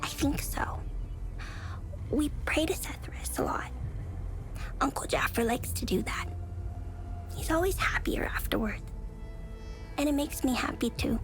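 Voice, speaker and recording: A soft, creaky-voiced sad young girl. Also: high voice, fairly even intonation, measured pace, long pauses, in a whisper, poor audio. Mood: wistful, subdued. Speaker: video game character. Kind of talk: subdued game dialogue acting. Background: music.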